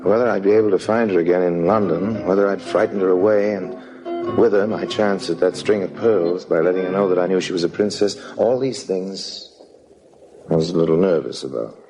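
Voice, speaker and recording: A suave, roguish swindler of old-time radio drama, speaks in a very low voice. He is urbane, wry and confiding as he tells his story, with music clearly heard behind him. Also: brisk, poor audio. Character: suave, roguish con man narrating his own scheme. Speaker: narrator and lead actor. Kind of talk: old-time radio drama acting, first-person narration.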